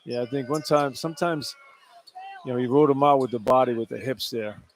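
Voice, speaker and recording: A man's voice: medium pitch, a little fast. A man giving colloquial, opinionated color analysis during a live radio basketball broadcast from an indoor arena. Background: clear arena noise.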